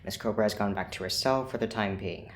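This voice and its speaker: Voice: low voice, brisk, a little hiss or hum, natural voice. An actor in an audio drama playing a cool, controlled scientist who speaks formally and precisely. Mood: detached, businesslike. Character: cool, clinical scientist doctor, controlled and persuasive. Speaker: scientist running experiments.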